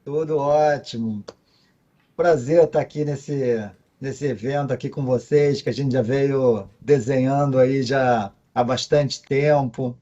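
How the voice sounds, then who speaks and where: A man with a marked Carioca accent gives event remarks from abroad over a video call. His voice is mellow, and he pauses often on fillers. The audio is dull and lo-fi. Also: mid-range voice, steady tone. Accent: Carioca Portuguese. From Brazil. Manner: hesitant, with frequent fillers. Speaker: company co-founder speaking remotely. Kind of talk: presentation remarks.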